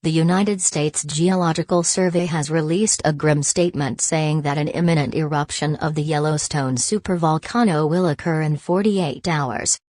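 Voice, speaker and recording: Woman as a low-pitched TV news anchor, dry sound. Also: normal speed, hardly any pauses, darkish tone, dry recording. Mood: serious, composed. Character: formal female TV news anchor reporting breaking disaster news. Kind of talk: scripted news bulletin.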